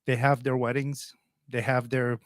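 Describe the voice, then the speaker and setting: A man's voice: mid-range voice, little pitch movement, a little slow, no echo, clean studio sound. A man in his forties from Portugal, hosting a radio talk show in a plainspoken, opinionated, conversational way. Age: 40s. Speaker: radio host.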